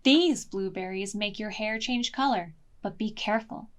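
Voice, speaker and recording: A woman's voice: medium pitch, moderate pace, lightly acted. A woman voicing a kindly, gracious little fairy in a storybook, polite and careful. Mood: helpful warning. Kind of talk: storybook character voice.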